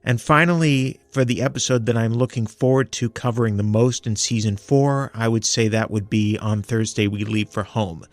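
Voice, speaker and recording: A man giving prepared, articulate commentary as a listener, measured and analytical in his delivery. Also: medium pitch, no echo. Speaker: listener sending in a review.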